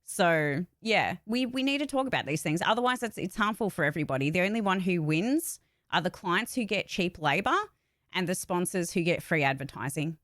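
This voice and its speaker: A woman's voice: on the low side, lively intonation, brisk, a bit bright, no echo, clean studio sound. A woman chatting casually and candidly as a host, blunt and emphatic, swearing freely. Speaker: voice actor and channel host. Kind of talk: casual livestream chat, candid opinions.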